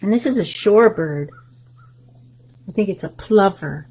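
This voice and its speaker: Lady reading a picture book to little kids, kinda breathy, gentle, goes whispery sometimes. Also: mid-range voice, expressive, moderate pace, poor audio. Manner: gentle, explains pictures between rhymes, often drops to a soft whisper. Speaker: library story time host.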